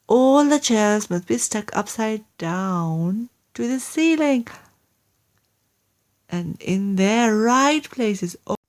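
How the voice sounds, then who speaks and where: Lady doing an excitable monkey dad from a storybook, bossy and snappy, barking urgent orders slowly. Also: fairly high pitch. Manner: shouting orders, exclamatory. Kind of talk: children's storybook character voice.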